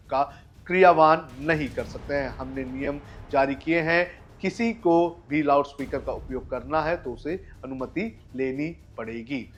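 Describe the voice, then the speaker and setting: A man presents the news in a scripted narration style over a music bed. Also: a little high. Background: background music.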